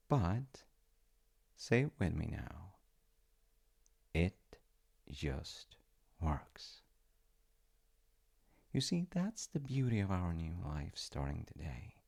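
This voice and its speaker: A man playing a playful, tender wizard fiancé in an intimate ASMR-style audio roleplay, speaking to the listener in a gentle, coaxing way. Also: sits low, sing-song, drawn-out words, gravelly, pauses often, exaggerated voice. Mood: playful, excited. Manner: gentle, coaxing, often dropping into whispers. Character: playful, tender male wizard fiancé showing off magical home.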